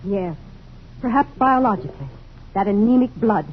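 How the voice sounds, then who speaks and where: A woman's voice: medium pitch, animated, normal speed, lightly acted. Earnest young woman scientist, acted, tinny sound. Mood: anxious. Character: eager, anxious young female scientist. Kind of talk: 1950s science fiction radio drama acting. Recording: tinny old radio recording, faint noise.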